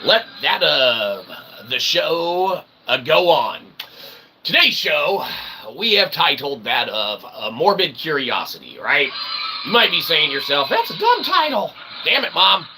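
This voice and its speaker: A man's voice: on the high side, sing-song, measured pace, noisy background. A man hosting a comedic philosophy show in a mock-grandiose, wordy monologue with ornate phrasing. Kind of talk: comic monologue.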